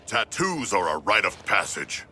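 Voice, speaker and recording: A man's voice: lowish voice, big pitch swings, unhurried, bright, forceful tone, a little hiss or hum, full character voice. A man playing a pompous, theatrical squad member in a video game, with grandiose, formal phrasing. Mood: solemn, curious. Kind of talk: dramatic video game acting.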